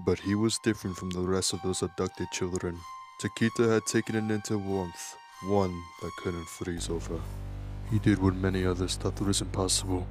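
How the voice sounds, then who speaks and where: A man playing a devoted ninja father and skilled warrior in an audio drama, speaking in a measured, solemn, soft way. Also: sits low, lightly acted.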